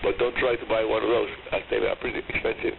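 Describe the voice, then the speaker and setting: A man's voice: fairly low pitch, little pitch movement, fast, creaky. A man from Cuba, a native Spanish speaker with a Cuban accent, hosting a scripted radio hobby program in an emphatic way, heard over shortwave. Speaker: radio host. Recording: noisy, narrowband shortwave radio broadcast reception.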